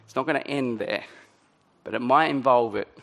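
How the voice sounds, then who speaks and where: A middle-aged man preaching a sermon in a conversational way, his voice a little croaky. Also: mid-range voice, moderate pace, a bit bright. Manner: conversational, many ums and restarts. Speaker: pastor.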